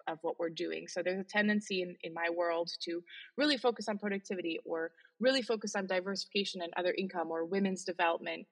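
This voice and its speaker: A Belgian woman in her forties, a native Flemish speaker, talks with an American accent. Her voice sits low and husky, with a gravelly edge. She speaks earnestly and emphatically as an interview guest, with frequent ums and uhs. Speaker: guest, sustainability leader in the chocolate industry. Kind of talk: interview conversation.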